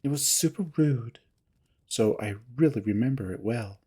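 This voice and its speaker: A man voicing a young female cat character in a story, forgetful, sheepish and evasive, with a halting, babyish way of talking. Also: medium pitch, animated, dark tone, husky. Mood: vague, defensive. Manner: halting, filler-heavy, babyish.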